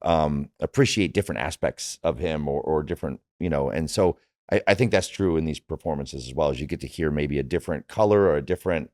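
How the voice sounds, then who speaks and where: Deep-voiced man chatting casually. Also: fairly quick, a little mellow, dry recording, studio quality. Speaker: voice actor in a discussion. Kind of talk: casual chat about favorite comics and films.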